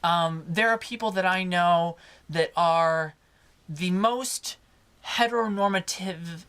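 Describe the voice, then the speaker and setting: A young man speaks in a naturally high voice for a male, chatting as a vlogger would. His delivery is casual and personal. He is expressive and leans on certain words, stretching them out for emphasis. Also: slow, smooth. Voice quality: higher-pitched voice for a man. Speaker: video blogger. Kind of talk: casual personal vlog talk to camera.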